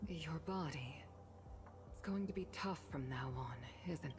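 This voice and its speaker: Very low-voiced, composed woman commander. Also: unhurried, noisy background. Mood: tender concern. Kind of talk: video game voice acting.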